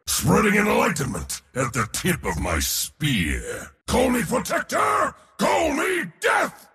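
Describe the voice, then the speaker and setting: A haughty god of war for a video game, his voice very rough and gravelly, ringing with long reverb. He is pompous and mocking, swinging wildly between huge battle bellows and low sneers. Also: pushed and bright, full character voice. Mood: triumphant, bellowing. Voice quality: raspy, gravelly, powerful. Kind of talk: video game hero voice lines.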